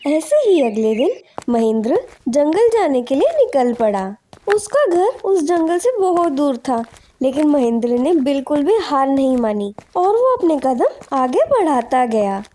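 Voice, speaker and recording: A woman narrator reads a scripted moral story. Her voice is high and soft-toned, without sharp edges, in the style of a bedtime storyteller. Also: sing-song, measured pace.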